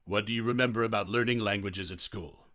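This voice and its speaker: A man's voice: fairly low pitch, a little fast, fairly bright tone, dry recording, studio quality. A man playing an examiner, asking test questions in a formal, instructional way. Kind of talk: scripted mock exam questions.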